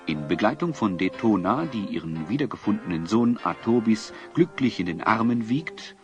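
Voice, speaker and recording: A man narrating a German audio drama in an elaborate, literary and gently ironic storyteller's manner. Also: lowish voice. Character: measured storytelling male narrator. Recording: dull lo-fi tape, music behind.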